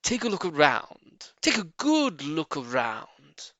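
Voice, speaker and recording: Father fox, male reader performing, storybook: confident, suave, deliberate, with a highish, swooping voice. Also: huge pitch swings, pushed and bright, no echo, heavily acted. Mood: knowing. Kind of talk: storybook character voice.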